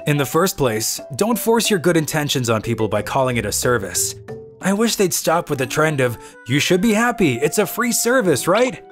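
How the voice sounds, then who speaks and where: A man's voice: fairly high pitch, very animated, normal speed, soft background music. A man playing a weary, cynical young office worker who grumbles and narrates his own manga story in a dry, exasperated way. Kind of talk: dubbed manga story narration in first person.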